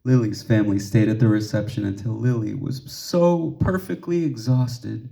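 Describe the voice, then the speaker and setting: A man reading a children's story aloud expressively, with measured pauses and emphasis for drama. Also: lowish voice, creaky, mellow. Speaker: storybook reader.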